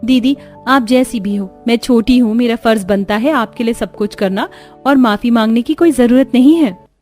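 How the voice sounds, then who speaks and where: A woman voicing a gentle, dutiful young daughter-in-law in a cartoon story, earnest and pleading. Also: on the high side, moderate pace, soft background music, lightly acted. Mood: humble, earnest. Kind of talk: Hindi cartoon story dialogue.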